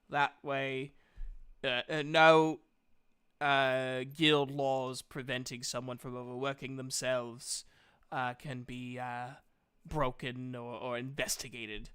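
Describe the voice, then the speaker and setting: Slow, bright-voiced man running a game. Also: medium pitch, steady tone, smooth. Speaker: game master. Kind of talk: narrating a tabletop fantasy game.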